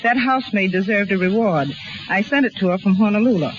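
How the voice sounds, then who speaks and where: A woman's voice: mid-range voice, fairly quick. Wisecracking woman, noisy vintage radio. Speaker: film script girl as radio guest. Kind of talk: scripted 1930s radio anecdote. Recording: noisy muffled 1930s radio recording.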